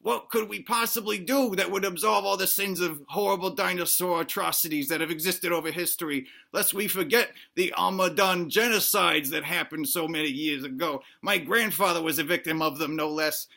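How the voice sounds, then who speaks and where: A woman's voice: lowish voice, moderate pace, creaky, hardly any pauses, sharp tone, heavily acted. A woman voicing a fretful young dinosaur schoolboy who worries aloud in rhetorical questions in a comic story. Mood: worried.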